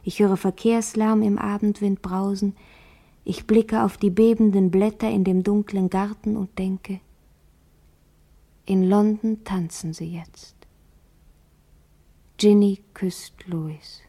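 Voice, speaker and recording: An actress playing a dreamy, solitary young country woman in a radio play, in a hushed, reflective and lyrical monologue. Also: mid-range voice, steady tone, slow, pauses often. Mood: quiet longing.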